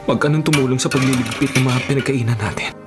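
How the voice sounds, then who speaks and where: A man's voice: medium pitch, fast talker, natural voice. Actor as a plain-spoken male inmate, music behind. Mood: concerned. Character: male prison inmate, familiar with an older preacher. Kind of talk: radio drama acting.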